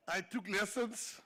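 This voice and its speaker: High-voiced South African man telling jokes. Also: sharp tone. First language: Afrikaans. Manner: storytelling, laughing at his own jokes. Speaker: government minister giving a speech. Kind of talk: humorous after-dinner speech. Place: banquet hall.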